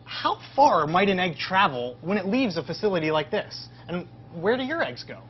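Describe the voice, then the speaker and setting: A man's voice: high-pitched, big pitch swings, moderate pace. An upbeat man in his thirties hosts an educational interview in a chatty presenter's way. The sound is a little dull, with some ambient noise from the open shed around him. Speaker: host, interviewer. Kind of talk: presenting an educational virtual tour. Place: semi-open metal farm shed. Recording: dull audio with clear background noise.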